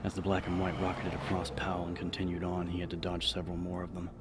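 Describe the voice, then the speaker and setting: Male audiobook narrator for horror fiction: very deep, restrained melody, dramatic read, noisy ambient backdrop. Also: monotone, quick pace.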